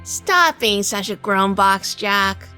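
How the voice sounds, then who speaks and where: A woman playing a quick-witted, sharp-tongued wife in a hardboiled gangster audio drama, brisk and sardonic. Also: mid-range voice, expressive, smooth, faint music behind. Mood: exasperated, teasing.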